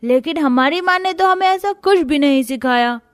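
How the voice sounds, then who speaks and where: Casting: woman as spoiled, insolent rich kid for a cartoon, very high voice over music. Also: normal speed, lightly acted. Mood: snooty. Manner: bratty, insolent. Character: rude, spoiled rich child, defiant and snooty. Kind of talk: Hindi cartoon moral story voice acting. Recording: music behind the voice.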